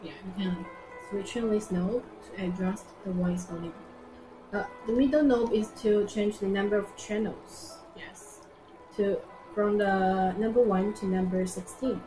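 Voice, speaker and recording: A woman's voice: mid-range voice, fairly even intonation. Young woman demonstrating products, roomy echo. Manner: simple halting non-native English, repeats phrases. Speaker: product presenter. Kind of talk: informal live product demonstration. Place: indoor product showroom. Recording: roomy echo with music behind. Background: music.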